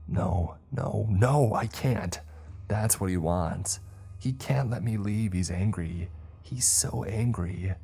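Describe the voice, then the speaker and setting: A man's voice: lowish voice, slow, creaky, mellow, breathy edge, pitched above the performer's own voice, exaggerated voice. A man voicing a terrified, tormented man who pleads and chokes through sobs in an audio horror story. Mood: terrified, anguished. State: crying. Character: terrified, sobbing man tormented by an entity in his head.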